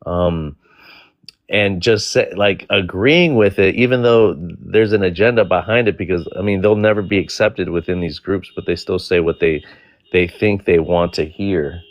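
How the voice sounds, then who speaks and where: A man's voice: deep voice, moderate pace, no echo. A man hosting a casual live-stream panel, rambling and exasperated, with frequent restarts. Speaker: panel host.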